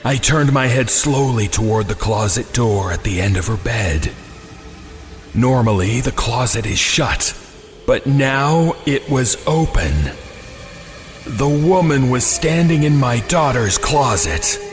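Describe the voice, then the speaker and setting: Male horror narrator, mid-pitched voice, suspenseful, deliberate pauses, scripted storytelling, music behind. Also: expressive.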